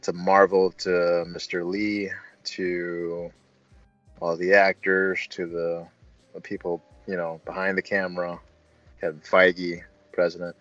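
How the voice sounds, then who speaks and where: A man's voice: low-pitched, little pitch movement, takes it slowly, a bit bright. A man hosting a casual film review chat, talking in an informal, joking way. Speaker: review show host. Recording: slightly dull, roomy sound with faint music.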